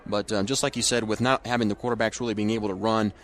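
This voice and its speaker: A man's voice: on the low side, steady tone, fast talker. A man giving football color commentary and analysis, recorded with ambient noise behind him. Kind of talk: live sports commentary, analysis.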